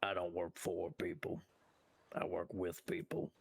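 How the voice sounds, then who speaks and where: A man's voice: sits low, monotone, unhurried, vocal fry, slightly rough, exaggerated voice. A man playing a sly, laid-back biker dealer in game roleplay, terse, nonchalant and cocky.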